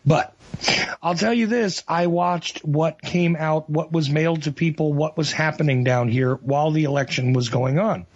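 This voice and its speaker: Husky emphatic male radio host. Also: a little high, normal speed, dry recording. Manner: emphatic, confiding, laughs while talking. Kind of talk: talk radio chat. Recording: dull lo-fi radio audio.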